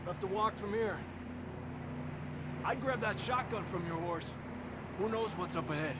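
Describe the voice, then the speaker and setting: An actor playing a watchful Mexican outlaw cowboy in a video game, giving cautious short instructions with a Mexican accent. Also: male voice, high voice, normal speed, very noisy, lightly acted. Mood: cautious, guiding. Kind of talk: video game cinematic acting. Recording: loud music bed, game soundtrack.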